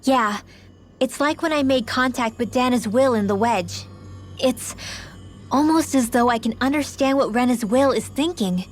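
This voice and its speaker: A woman's voice: fairly high pitch, normal speed, faint music behind, natural voice. A woman voicing an earnest young girl adventurer in a dramatic video game dub, with a slightly hesitant manner. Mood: uneasy, wondering.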